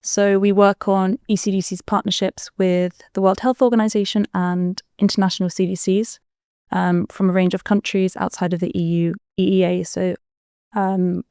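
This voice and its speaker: A woman in her twenties from Spain, answering interview questions in a relaxed, chatty way with the odd um. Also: mid-range voice, normal speed, clean tone, no room sound, clean studio sound. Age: 20s. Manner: relaxed, chatty with frequent ums. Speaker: guest.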